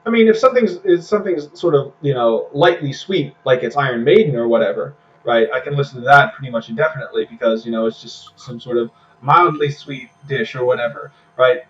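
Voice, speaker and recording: A man's voice: mid-range voice, fairly quick, hardly any pauses, far from the mic, muffled recording. A man co-hosting a casual podcast chat, laughing easily and musing aloud in food comparisons. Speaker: podcast co-host.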